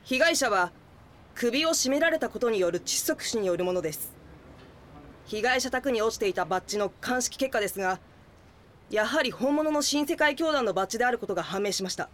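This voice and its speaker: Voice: mid-range voice, lively intonation, a little fast, a bit bright, close to an everyday voice. A performer playing a police investigator, formal and matter-of-fact, in an audio drama. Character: formal police investigator reporting forensic findings.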